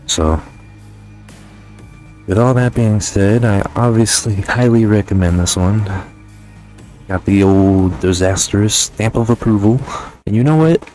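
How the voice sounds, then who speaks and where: A man's voice: lowish voice, measured pace, long pauses, mellow, soft background music. A young man giving a casual, sweary video game review as a gaming YouTuber. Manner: informal, heavily sweary.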